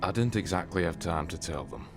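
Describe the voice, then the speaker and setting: A man's voice: sits low, a little fast, faint music behind, natural voice. A man playing a grim crewman of a stranded spaceship in a sci-fi audio drama.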